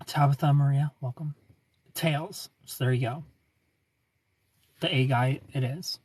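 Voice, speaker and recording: A man in his thirties hosting a casual livestream, reading viewer comments and greeting them by name in a smooth, laid-back way. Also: mid-range voice, fairly even intonation, unhurried, darkish tone, slight background noise. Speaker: livestream host.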